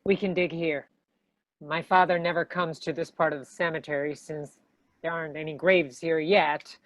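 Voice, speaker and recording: A woman's voice: deep voice, steady tone, normal speed, no room sound, natural voice, low-quality recording. A woman playing a bossy, decisive demon hunter in a comedy table read, with a commanding, dry manner. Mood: brisk, commanding.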